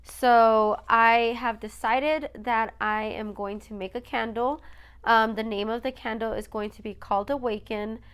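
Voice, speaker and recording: A woman's voice: fairly high pitch, steady tone, measured pace, fairly bright tone, clean tone. Chatty woman podcaster, stuffy from allergies. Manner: rambling, many fillers and "you guys". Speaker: podcast host. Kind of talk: casual solo podcast talk.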